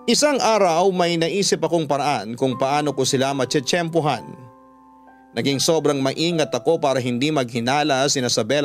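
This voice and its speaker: A man with a Filipino accent narrating a scripted first-person story for radio drama, with music underneath. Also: a little high.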